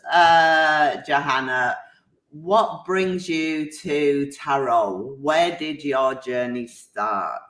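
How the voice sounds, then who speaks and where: A woman hosts a live online hangout over a video call. She speaks slowly and deliberately, greeting viewers one by one. Her voice rings in an echoey room. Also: deep voice, a bit bright. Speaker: host.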